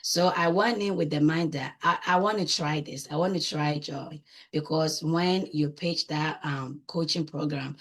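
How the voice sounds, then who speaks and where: Female interview guest, Ghanaian accent, deep husky tone, conversational testimonial delivery. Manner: frequently tags phrases with "right?". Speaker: guest, coaching client and business owner.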